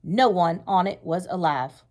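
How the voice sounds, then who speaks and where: A woman's voice: lowish voice, a little slow. A woman reading a storybook aloud, voicing a fierce, hard-driving male wartime Navy commanding officer with stern, emphatic delivery. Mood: grim, stern. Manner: stern, forceful, emphatic speech-making. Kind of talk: read-aloud storybook character voice.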